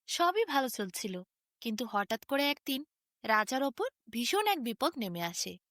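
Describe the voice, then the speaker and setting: A woman's voice: on the high side, very animated, measured pace, a bit bright, no room sound. A woman narrating a fairy tale as a bedtime story, with a sing-song storytelling delivery. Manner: sing-song storytelling with wide rises and falls.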